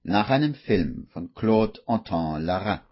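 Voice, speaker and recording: A man narrating a scripted radio play as a literary storyteller, measured and deliberate. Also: on the low side, expressive, slightly rough. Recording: dull vintage radio recording, faint music.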